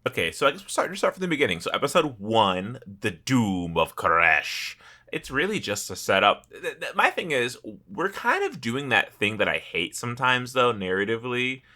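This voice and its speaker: A man hosting a podcast in casual, comedic chat, exclaiming and swearing freely in an animated way. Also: mid-range voice, normal speed. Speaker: podcast host.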